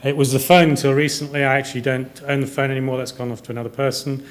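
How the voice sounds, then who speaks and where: A man's voice: mid-range voice, level tone, fast talker. A man in his fifties from Kuwait giving a conference presentation to developers in a hesitant, rambling way, often repeating words. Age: 50s. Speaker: conference speaker, software company director. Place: hotel conference room, indoors.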